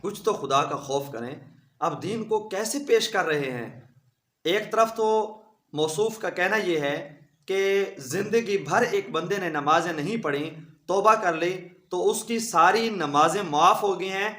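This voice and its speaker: A man's voice: high-pitched, expressive, a little slow, pushed and bright. A man, an Islamic cleric with a sore throat, giving an indignant, emphatic rebuttal speech. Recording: echoey room.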